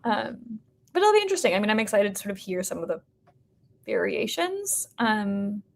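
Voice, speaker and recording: A woman's voice: mid-range voice, very animated, normal speed, vocal fry. An American woman in a casual interview conversation, speaking informally and hedging with lots of "like" and "sort of". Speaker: podcast guest, company representative.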